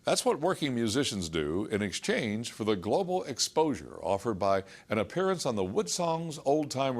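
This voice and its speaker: A man narrating a scripted news feature. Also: mid-range voice.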